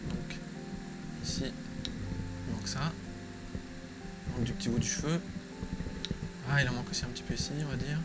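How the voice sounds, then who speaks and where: Casting: male tutorial presenter, mid-pitched, informal and unscripted, with prominent music behind him. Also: fairly even intonation, normal speed, pauses often, low-quality recording. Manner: informal, thinking aloud while working. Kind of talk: casual, improvised screen-recorded tutorial. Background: loud music.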